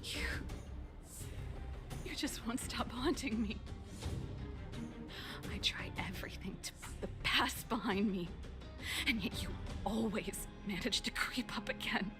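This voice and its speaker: A woman's voice: a little high, unhurried, long pauses. A woman voicing a bitter, wounded researcher in a video game, dramatic and pained in delivery. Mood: bitter, anguished. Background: game music.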